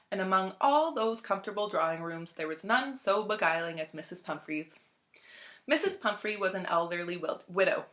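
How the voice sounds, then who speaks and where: Bright-voiced woman reading a story aloud. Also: mid-range voice. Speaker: library storytime reader. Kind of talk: scripted narration, expressive story read-aloud.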